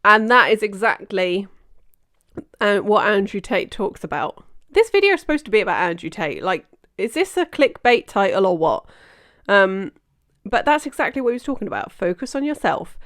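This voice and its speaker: A woman's voice: mid-range voice, very animated, moderate pace, a bit bright, no echo, studio quality. A woman in her forties from Britain, hosting a reaction video and giving blunt, opinionated commentary with the odd swear. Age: 40s. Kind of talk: casual commentary over clips.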